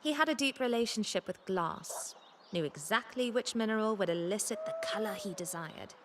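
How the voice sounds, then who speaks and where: A woman playing a haunted, broken craftsman's daughter in a video game, dramatic, anguished and halting. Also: medium pitch, animated, a bit bright, slight background noise, natural voice. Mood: mournful. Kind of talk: game character dialogue.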